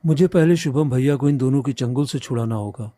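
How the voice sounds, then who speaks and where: A man's voice: mid-range voice, a little fast, dark tone, dry recording, studio quality, close to an everyday voice. A man voicing a loyal young villager and younger brother in a cartoon story, earnest and pleading. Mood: shocked. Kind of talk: Hindi cartoon story acting.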